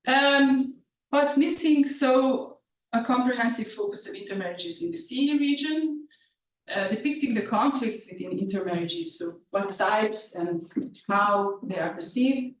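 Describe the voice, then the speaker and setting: A woman's voice: a little high, unhurried. A woman who grew up speaking Hungarian gives an academic talk, hesitant and full of fillers. She sounds far from the mic in a very echoey room. A faint hiss sits underneath. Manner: hesitant, many fillers and restarts. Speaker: research presenter.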